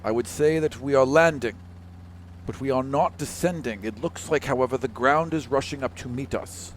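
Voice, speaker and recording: A man's voice: medium pitch, normal speed, a crowd in the background. A man working as game master, narrating a tabletop game in an improvised, conversational way. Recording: clear background noise.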